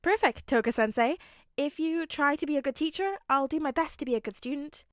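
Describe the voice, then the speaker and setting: A woman plays an eager teenage boy from a storybook, pitched high. He is earnest and a little hesitant, tending to ramble as he explains himself. The sound is close and dry. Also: lively intonation, fairly quick, no room sound. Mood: playful, eager.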